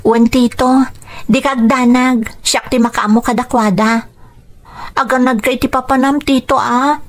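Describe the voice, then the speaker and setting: A woman's voice: high-pitched, steady tone, unhurried. A woman playing a grateful, caring young student niece in an Ilocano radio drama, speaking earnestly and respectfully. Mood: grateful, concerned.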